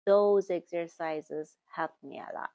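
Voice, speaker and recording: A woman speaks to her viewers in a slow, deliberate way, often repeating words and correcting herself. Her voice sits fairly low and has a gravelly texture. The recording is close and dry. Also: dark tone, no echo, highs cut off. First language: German. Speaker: language-learning YouTuber. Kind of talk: talking to camera, giving tips.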